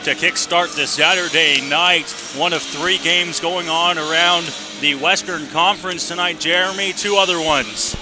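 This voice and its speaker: A man's voice: a little high, steady tone, measured pace, few pauses, over music. A man doing live radio play-by-play commentary of an ice hockey game as the announcer. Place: indoor hockey arena. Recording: noisy live arena radio broadcast.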